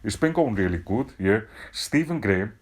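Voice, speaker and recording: A man's voice: on the low side, expressive, normal speed, natural voice. A man playing a brash, sweary hard-man podcast host, blunt and peppering his talk with "yeah, right". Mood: boastful. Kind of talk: comic casual chat.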